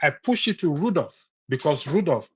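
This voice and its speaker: A man's voice: on the high side, very animated, moderate pace, darkish tone, breathy edge. A Nigerian man on a call-in broadcast, firmly insisting that his point is on record. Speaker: caller on a live broadcast. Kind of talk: heated call-in debate.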